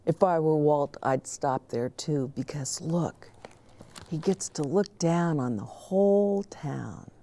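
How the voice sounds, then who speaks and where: A woman reading a children's story aloud in an expressive, dramatic way, talking directly to young listeners. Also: low voice, slow. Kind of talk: expressive picture-book read-aloud.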